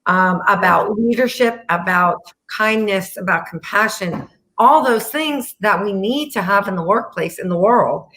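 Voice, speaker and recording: Middle-aged female host, medium pitch, conversational interviewing. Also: measured pace, sharp tone.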